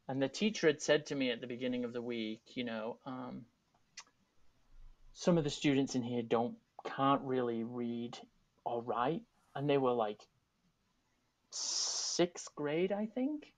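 Englishman with a softened Yorkshire accent. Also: medium pitch. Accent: Yorkshire English, softened for Americans. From England. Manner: thoughtful, rambling, with many restarts and asides. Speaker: teaching artist and artistic director. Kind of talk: casual panel discussion on a video call. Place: home room. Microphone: video call, earphones. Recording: dull lo-fi video call audio.

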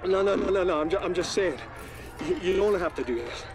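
An actor playing a timid, terrified male captive who pleads haltingly and stammers in a video game. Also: high voice, lively intonation. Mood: fearful pleading. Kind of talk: video game dramatic acting.

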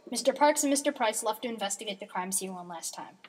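A woman's voice: mid-range voice, expressive, quick pace, breathy edge. A woman reading scripted narration for an old-time radio mystery. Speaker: narrator and announcer.

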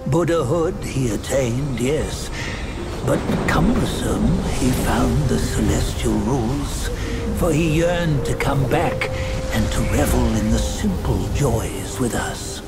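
An actor playing a weary, reverent old storyteller in a video game, solemnly telling an ancient legend with dramatic pauses. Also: male voice, mid-range voice, animated. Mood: solemn, wistful. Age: older. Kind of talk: solemn scripted game narration. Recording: music behind the voice.

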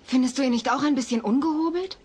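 An actress playing a brisk suburban wife and mother in a German sitcom audio play. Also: high-pitched, a little mellow, husky.

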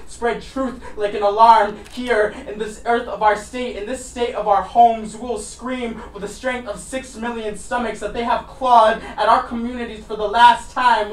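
A man with a high voice recites slam poetry in a dramatic, deliberate manner. He sets phrases apart with emphatic pauses. The recording is distant and echoey, as from a live poetry event. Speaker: spoken word poet. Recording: roomy, distant mic, faint noise.

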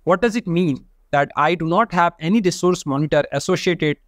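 A man with a somewhat high-pitched voice teaches a data tool in a methodical, step-by-step way. His voice-over is recorded dry and close to the mic, with no room sound. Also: a little fast, fairly bright tone, no echo. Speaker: data engineering instructor. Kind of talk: screen-share software tutorial.

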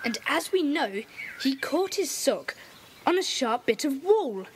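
A woman voicing a quick-thinking, eager young boy detective in an audio drama. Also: sits high, very animated, slight background noise.

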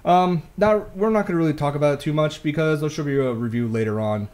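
A man's voice: on the high side, quick pace, a little mellow. A man with an American accent talking casually as a podcast co-host, recorded on a microphone in a small home room. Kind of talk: casual chat.

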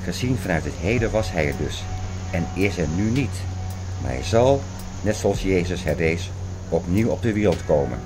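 A man's voice: low voice, animated, normal speed, music in the background, rough recording. An actor playing a fervent man expounding apocalyptic theories in a radio play, insistent, didactic and declamatory. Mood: fervent, lecturing. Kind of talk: radio play dialogue, insistent lecturing and reciting.

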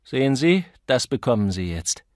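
A man's voice: medium pitch, very animated, moderate pace, a little mellow, no echo, voice pushed down, close to an everyday voice. A man voicing a cool, enigmatic man who teases in a dry, condescending way, as an audiobook character. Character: cool, mocking, enigmatic man toying with his listener.

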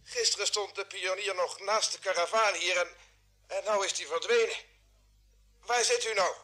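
An actor playing a puzzled, obedient astronaut in an old radio drama. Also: male voice, sits high, normal speed, sharp tone. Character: puzzled but obedient male astronaut, slightly confused. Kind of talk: 1950s Dutch radio drama acting.

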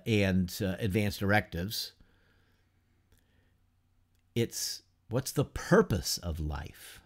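A man in his fifties giving an informal class lecture from a home study, unhurried and conversational, asking rhetorical questions and using many fillers. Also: fairly low pitch. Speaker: teacher of an adult church class. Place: home study lined with bookshelves, whiteboard beside him.

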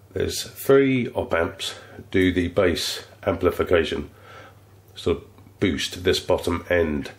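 A man with a stuffy head cold, explaining an amplifier repair in a relaxed, rambling workshop tutorial. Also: sits low. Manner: relaxed, rambling, restarts phrases. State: congested from a cold. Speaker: electronics repair technician. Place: electronics workbench, indoors.

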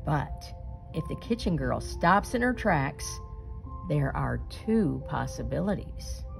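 Woman doing a lively storybook read aloud, bit of creak in her voice, big dramatic pauses. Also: sits low, over music. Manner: deliberate, dramatic emphasis with suspenseful pauses. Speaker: storyteller reading a picture book aloud. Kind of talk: expressive children's read-aloud.

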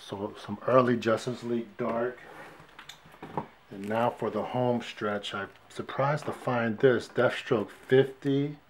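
A man casually showing his comic book finds on camera, calmly counting off issue numbers one by one at a shelf indoors. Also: medium pitch, slow pace, slight background noise. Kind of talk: casual show-and-tell vlog. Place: indoors at a wooden shelf display. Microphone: fixed camera, hands only in frame.

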